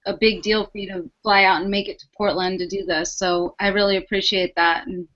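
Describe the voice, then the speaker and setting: A woman's voice: medium pitch, fairly even intonation, fairly quick, a bit bright. A woman hosting a casual video call, friendly and upbeat, with many "like"s and "you know"s. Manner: friendly, upbeat, filler-heavy. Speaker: event host. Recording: muffled video call audio.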